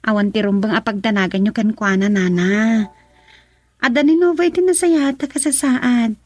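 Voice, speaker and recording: Woman playing a soft apologetic young gal with a guilty conscience, high voice, ilocano accent, radio drama, dry studio. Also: normal speed, no echo, natural voice. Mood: reassuring.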